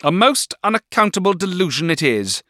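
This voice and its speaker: A man voicing a harsh, sharp-tongued spinster sister who speaks in a scornful way in an audiobook reading of a classic novel. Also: lowish voice, sing-song, moderate pace, no room sound, studio quality, voice pushed up. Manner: sharp, scornful, hoarse chuckle.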